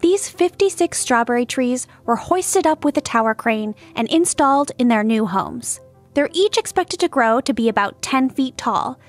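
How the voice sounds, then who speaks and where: A woman narrates an audio guide from a script. Her voice is fairly high, set over faint music. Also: lively intonation, normal speed. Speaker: voice-over artist.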